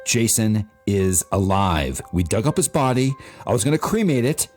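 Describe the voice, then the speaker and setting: Here is a frantic young man played by a male amateur reader in a horror radio play. His delivery leaps up and down in pitch as he pleads defiantly to be believed, with faint music behind him. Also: big pitch swings, moderate pace, a little mellow. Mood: urgent, agitated.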